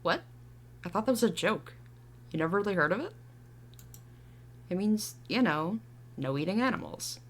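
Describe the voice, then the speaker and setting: A woman's voice: medium pitch, lively intonation, moderate pace, long pauses, natural voice. A woman playing a casual, chatty modern city dweller in a drama.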